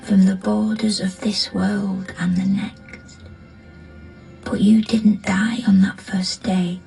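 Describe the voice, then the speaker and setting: A woman playing a ghostly voice from between worlds in a poetic radio drama, eerie and hushed. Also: medium pitch, little pitch movement, slow, vocal fry, soft background music.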